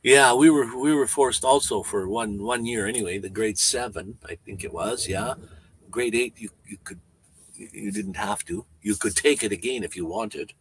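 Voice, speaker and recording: A man around seventy with a Canadian accent, chatting casually on a livestream, rambling and halting with frequent restarts. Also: on the low side, breathy edge, low-quality recording. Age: 70s. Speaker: van-life vlogger.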